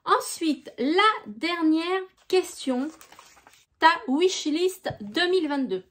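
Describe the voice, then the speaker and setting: Slow-talking high-voiced French woman. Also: animated. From France. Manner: slow, gentle and chatty, with many hesitations. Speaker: YouTube crafts channel host. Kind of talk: casual FAQ video answering viewer questions.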